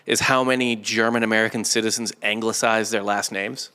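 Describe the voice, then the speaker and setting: A man's voice: on the low side, fairly even intonation, a little fast, bright, forceful tone, clear, resonant tone. A man hosting a casual comedy history podcast, explaining things in an informal, joking way. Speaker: podcast host.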